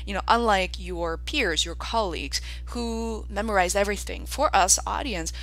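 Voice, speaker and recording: A woman hosting a podcast, asking lively follow-up questions and talking at length between them. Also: mid-range voice, normal speed, poor audio. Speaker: podcast host, interviewer. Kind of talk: casual interview chat.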